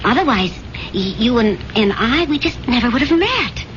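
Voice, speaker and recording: A woman's voice: on the high side, sing-song, moderate pace, a bit bright. An actress playing a wistful young woman reminiscing with her sweetheart in earnest, reflective 1970s radio drama style. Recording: dull, noisy 1970s radio recording.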